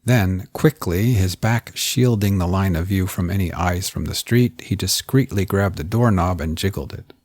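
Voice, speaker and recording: A man reading scripted crime fiction in a measured, audiobook-style storytelling delivery. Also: sits low, darkish tone, no echo, studio quality. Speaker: narrator of a serialized crime story. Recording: music bed under the voice. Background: music.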